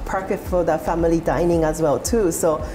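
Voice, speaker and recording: A middle-aged woman from Japan, a hotel executive, answering interview questions in a steady, measured way. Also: deep voice, soft background music, rough recording. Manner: steady, measured, frequent "you know". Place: hotel lounge indoors.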